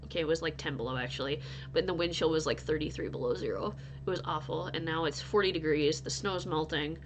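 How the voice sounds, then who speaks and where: A woman's voice: sits low, quick pace, noisy background. A married woman from North Dakota, still sniffly from illness, rambling chattily to her viewers in a casual crochet vlog. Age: adult, married. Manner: rambling, chatty, with frequent restarts and laughs. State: stuffy and recovering from illness. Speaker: crochet vlogger.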